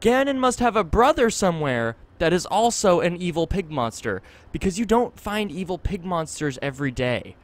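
A man's voice: sits high, very animated, normal speed, few pauses. A young man giving let's play commentary in a playful, rambling way as a gaming host. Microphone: desktop condenser microphone. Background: game music.

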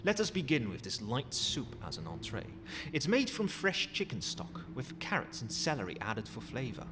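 A man's voice: mid-range voice, big pitch swings, normal speed, music in the background, close to an everyday voice. A man playing a courteous, cheeky undead skeleton butler in an ASMR roleplay, with a formal, theatrical manner. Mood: showy, proud. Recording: long hall reverb, noisy ambience.

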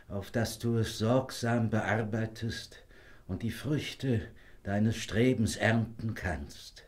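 An actor playing a frail old dying father, gentle, solemn and deliberate, in a fairy-tale audio drama. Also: fairly low pitch. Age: older.

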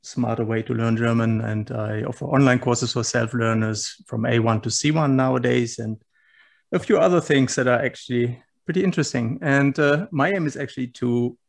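A man's voice: mid-range voice, moderate pace, vocal fry. A man who runs online courses, introducing himself in a dry, self-assured way on a video call. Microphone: earphone mic on a video call.

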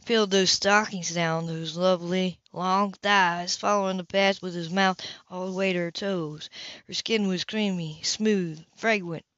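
A woman's voice: fairly low pitch, a bit bright, no echo, lo-fi sound. A woman reading a romance novel aloud as an amateur narrator, now and then stumbling over words and correcting herself. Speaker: amateur audiobook reader.

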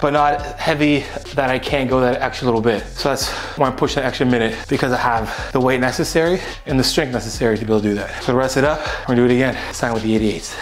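A man in his thirties talking casually through a home workout, explaining each exercise as he goes in a small indoor gym. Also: mid-range voice. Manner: relaxed, explanatory, talks while exercising. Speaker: fitness coach.

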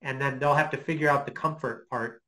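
A middle-aged man giving an informal lecture on a video call, explaining in a hesitant, halting way as he thinks aloud. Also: medium pitch, fairly even intonation, pushed and bright, poor audio. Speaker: guest lecturer. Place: small home office, indoors.

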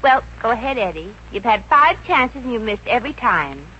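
Brash wisecracking young woman, high lively voice, mock-innocent, vintage radio comedy. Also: normal speed. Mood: teasing. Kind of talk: 1950s American radio comedy acting. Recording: dull, hissy 1950s radio recording.

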